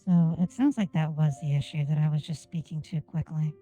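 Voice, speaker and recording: A woman whose first language is Japanese, chatting on a game stream and enunciating each word deliberately into a desk microphone. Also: low-pitched, steady tone, warm tone, faint music behind, low-quality recording. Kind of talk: casual gameplay stream chat. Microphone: desk microphone on an arm.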